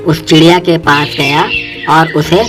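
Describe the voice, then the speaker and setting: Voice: medium pitch, fairly even intonation, unhurried. A narrator reading a scripted children's moral story. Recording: music and birdsong behind the voice.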